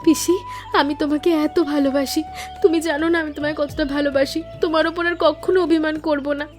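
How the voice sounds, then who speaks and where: A woman's voice: high-pitched, steady tone, fast, close to an everyday voice. A woman voicing a sensitive, apologetic young niece in a cartoon story, earnest, pleading and sentimental. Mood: adoring. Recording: music under the voice.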